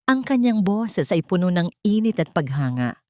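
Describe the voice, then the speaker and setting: A woman reading a scripted dramatic story as narrator, in a measured, literary storytelling manner. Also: lowish voice, very animated, warm tone, no echo.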